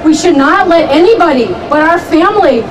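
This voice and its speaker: A woman's voice: very high-pitched, animated, fairly quick. A woman speaking carefully at an outdoor rally. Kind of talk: public speech. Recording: distant mic, loud street noise.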